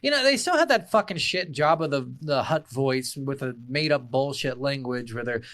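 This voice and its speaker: A man's voice: medium pitch, expressive, moderate pace, fairly bright tone, no echo. A man co-hosting a podcast, chatting casually about games in a blunt, profane, ranting way. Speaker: podcast host. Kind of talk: casual game review chat.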